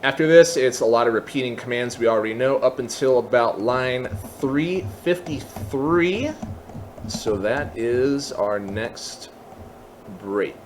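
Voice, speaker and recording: A man giving an unscripted software tutorial, explaining casually step by step and thinking aloud as he goes. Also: mid-range voice, background noise. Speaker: tutorial presenter.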